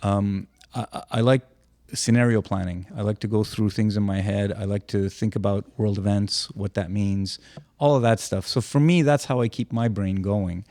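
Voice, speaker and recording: A man's voice: lowish voice, steady tone, moderate pace, mellow, dry recording. An older retired man chatting casually as a podcast co-host, rambling with frequent restarts. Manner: rambling, many restarts, easygoing. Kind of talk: casual chat.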